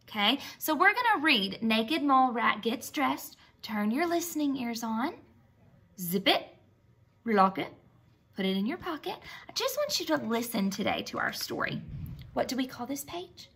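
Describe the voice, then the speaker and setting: A woman teacher giving an expressive read-aloud lesson for young children, using simple words and prompting with questions. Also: a little high, normal speed, breathy edge.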